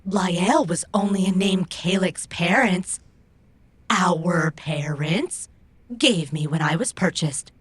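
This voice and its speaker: A woman's voice: on the low side, slow pace, breathy edge. A woman playing a proud, stubborn female robot who speaks in formal, precise, stilted phrases in an audio drama. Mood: reflective.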